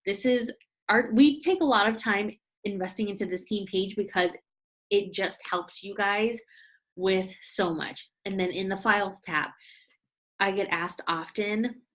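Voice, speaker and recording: A woman's voice: mid-range voice, fairly bright tone, rough recording. A young woman leading a casual online training call for her sales team, chatty and upbeat, speaking over a video call. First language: English. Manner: chatty and upbeat, often saying "you guys".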